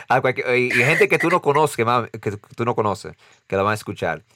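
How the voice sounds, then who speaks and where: A man's voice: medium pitch, animated, moderate pace, pushed and bright, a little hiss or hum. A young man with a mixed Dominican and American Spanish accent, hosting a podcast casually, laughing often and restarting words. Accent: Dominican and American-tinged Spanish. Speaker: podcast host. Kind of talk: casual interview and outro.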